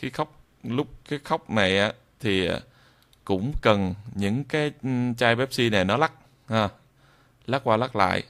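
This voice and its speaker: A man giving an unscripted software tutorial in a hesitant, halting way, often repeating phrases. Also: medium pitch, a little hiss or hum. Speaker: video tutorial presenter.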